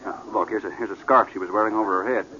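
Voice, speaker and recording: A man's voice: lowish voice, fairly even intonation, racing, sharp tone. Anxious townsman, hesitant, tense edge, dull vintage radio drama sound with faint noise. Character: anxious, helpful frontier townsman. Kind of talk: old-time radio drama acting. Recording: dull, slightly noisy vintage radio recording.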